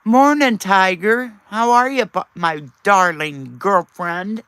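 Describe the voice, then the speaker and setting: Man streamer, raspy, bright lively voice, teasing banter, dry close sound. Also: sits high, slow, dry recording. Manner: teasing, chatty, reads out and answers viewers. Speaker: live streamer. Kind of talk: casual live-stream chat with viewers.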